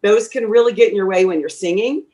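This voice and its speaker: A woman's voice: mid-range voice, quick pace, warm tone. A woman voice teacher being interviewed, talking chattily and advising from experience, with lots of fillers and restarts. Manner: speaks from a teacher's experience, giving advice. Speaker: voice teacher, guest. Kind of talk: conversational interview answers.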